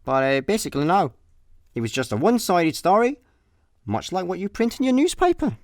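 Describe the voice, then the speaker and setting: A man with a Scottish accent acting a laid-back, deadpan space layabout in a comic radio play. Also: high voice, fairly quick. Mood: matter-of-fact. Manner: deadpan, hesitant understatement. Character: laid-back, careless male space layabout, drily unbothered.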